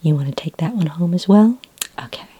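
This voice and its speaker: Soft, low whispery woman, ASMR librarian. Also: animated, fairly quick, dry recording. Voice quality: soft, breathy near-whisper. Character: friendly, helpful sci-fi librarian woman. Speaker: ASMR performer playing a friendly librarian. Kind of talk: soft-spoken ASMR roleplay.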